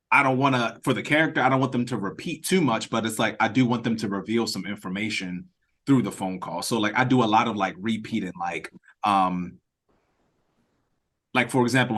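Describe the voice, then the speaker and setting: A man leading a script read-through on a video call, talking casually and haltingly as he thinks aloud and restarts his sentences. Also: on the low side, fairly bright tone. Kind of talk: casual creative feedback discussion.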